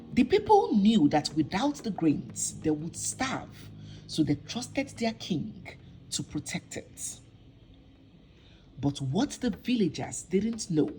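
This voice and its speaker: A woman narrating a scripted folktale as a storyteller, with deliberate, dramatic phrasing and pauses. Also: mid-range voice, warm tone, low-quality recording. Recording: music behind the voice. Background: instrumental music.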